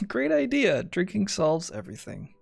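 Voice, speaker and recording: A woman's voice: mid-range voice, big pitch swings, measured pace, vocal fry, husky, faint music behind. A woman chatting casually while gaming, irreverent and sweary.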